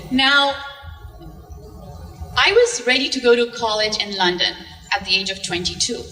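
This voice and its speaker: A woman telling her personal story on stage in a slow, dramatic, poetic way. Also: a little high, bright, forceful tone, soft background music. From Zambia. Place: art museum event stage with audience. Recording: distant mic in a reverberant hall.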